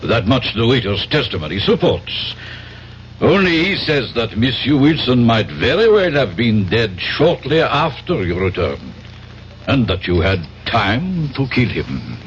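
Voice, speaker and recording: An actor playing a stern, formal French police inspector with a French accent and a sceptical manner, in 1970s radio drama style. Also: male voice, medium pitch, sing-song, a little slow. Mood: coolly sceptical. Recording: dull noisy vintage radio broadcast.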